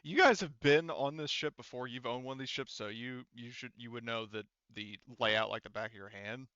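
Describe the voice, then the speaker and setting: A man's voice: mid-range voice, brisk, sharp tone, clean tone, dry recording, lo-fi sound. A man running a tabletop roleplaying game as game master, explaining casually in a rambling, self-correcting way.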